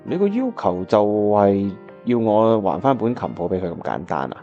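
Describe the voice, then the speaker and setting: A man's voice: lowish voice, sing-song, normal speed, highs cut off. A man with a Hong Kong Cantonese accent acting a disheartened, wistful time traveller who narrates his own radio drama. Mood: musing. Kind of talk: first-person radio drama narration and dialogue. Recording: music playing behind the voice.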